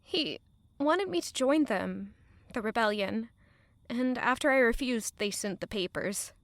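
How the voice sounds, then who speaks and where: A woman's voice: on the high side, animated, unhurried, no room sound. An actress playing a guilt-ridden, selfless young woman in love, speaking earnestly and emotionally in an intimate audio drama. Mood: guilty, anxious.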